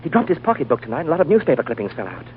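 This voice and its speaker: A man's voice: mid-range voice, sing-song, rapid-fire, lightly acted. An actor playing an agitated young man bringing urgent news. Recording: tinny old radio broadcast with hiss.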